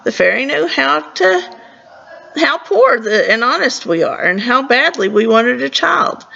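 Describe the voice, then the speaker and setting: A woman's voice: high-pitched, animated, measured pace, creaky, bright, forceful tone, muffled recording, heavily acted. A woman voicing a humble, wonderstruck farmer's wife from a storybook, speaking earnestly in an American accent.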